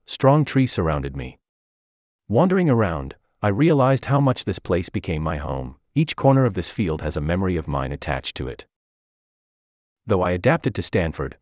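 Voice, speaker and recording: A man reading a personal essay aloud in a measured, reflective way. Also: sits low, dry recording, studio quality. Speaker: article reader.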